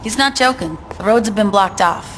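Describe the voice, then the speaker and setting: Brief: actress as wry, composed gang lieutenant, mid-range voice, game cutscene with faint ambience. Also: female voice, medium pitch, expressive, brisk, fairly bright tone, a little hiss or hum, lightly acted. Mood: unfazed. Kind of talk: video game cutscene acting.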